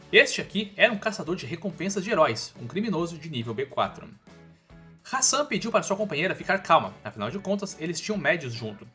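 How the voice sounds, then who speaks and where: A man's voice: a little high, pushed and bright. A man with a Brazilian accent narrating a scripted story recap in a colloquial, informal way with casual asides. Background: background music.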